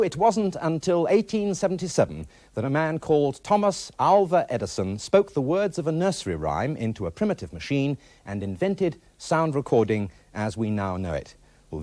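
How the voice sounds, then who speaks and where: A man's voice: mid-range voice, darkish tone, dry recording. A man with a British accent presenting scripted documentary narration. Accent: British English. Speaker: television presenter.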